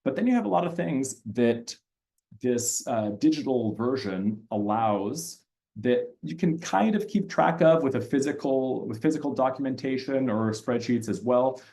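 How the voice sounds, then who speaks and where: A man's voice: mid-range voice, animated, moderate pace, creaky, mellow. A man who grew up in Austria and speaks German natively, presenting a reading platform to teachers in a conversational webinar, with many fillers and restarts. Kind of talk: webinar walkthrough with screen sharing.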